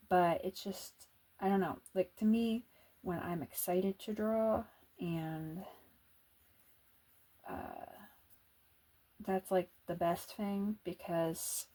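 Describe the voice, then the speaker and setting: Mellow, soft-spoken woman, casual ASMR artist chat. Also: on the low side. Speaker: illustrator and comic artist narrating a drawing video.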